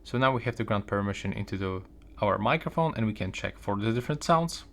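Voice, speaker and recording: Male tutorial presenter, lower-pitched voice, talking through on-screen steps off the cuff. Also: animated, fast, creaky. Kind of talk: unscripted step-by-step screen walkthrough.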